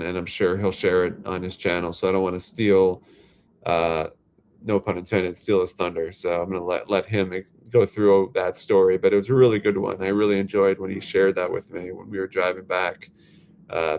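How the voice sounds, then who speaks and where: A man, congested and a little nasal from a head cold, chatting casually and haltingly on his livestream, full of fillers and restarts. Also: low voice, darkish tone. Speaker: livestream host.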